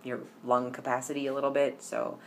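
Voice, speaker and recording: A man's voice: medium pitch, flat delivery, a little fast, vocal fry, a little hiss or hum. A young man chatting casually to camera in a personal vlog, rambling with lots of ums and restarts. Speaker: vlogger.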